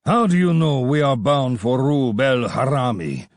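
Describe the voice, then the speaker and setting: A man voicing a gruff, suspicious Afghan bandit chief with a bearded, growling manner. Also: medium pitch, expressive, measured pace, dry recording, studio quality, voice pushed up, lightly acted. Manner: growling, curt.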